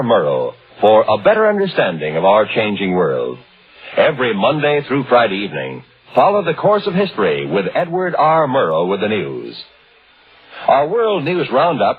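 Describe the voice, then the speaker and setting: A man's voice: mid-range voice, slightly rough. A man reading a scripted 1950s radio news promo as a formal, declamatory network announcer. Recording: tinny old radio broadcast, phone-like band.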